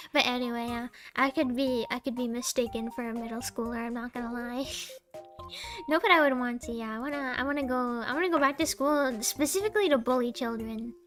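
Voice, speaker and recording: A young woman with a tiny, sweet, high voice chats on her stream. She rambles, trails off and laughs at her own deadpan jokes. Soft music plays faintly behind her. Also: fairly quick. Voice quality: small sweet voice. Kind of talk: casual live-stream chat.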